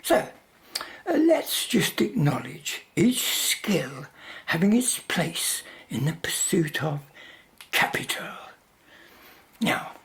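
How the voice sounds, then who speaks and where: Woman acting drawling, haughty British tycoon, creaky. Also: fairly low pitch, sing-song, slow pace, pauses often, whispered, exaggerated voice. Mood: condescending. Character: callous, smug, greedy lithium mining magnate. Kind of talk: radio drama acting.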